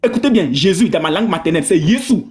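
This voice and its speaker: A man from Togo, giving polemical religious commentary in an animated, mocking way, repeating phrases for emphasis. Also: high voice, fast.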